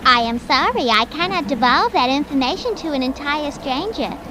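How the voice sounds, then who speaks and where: Very high-pitched scatterbrained secretary. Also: female voice, expressive, moderate pace, pushed and bright, low-quality recording. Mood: prim, officious. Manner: prim, affected, muddles words and malapropisms. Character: flustered, scatterbrained young relief secretary putting on grand airs. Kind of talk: 1940s radio comedy-detective acting. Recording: old 1940s radio recording, faint noise.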